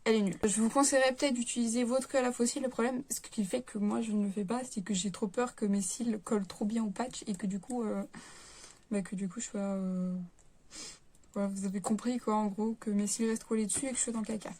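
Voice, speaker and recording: A woman's voice: on the high side, steady tone, fast, darkish tone. A young woman chatting casually to her camera at home, reviewing a beauty kit and rambling with lots of fillers. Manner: rambling, lots of filler words and self-corrections. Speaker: beauty vlogger.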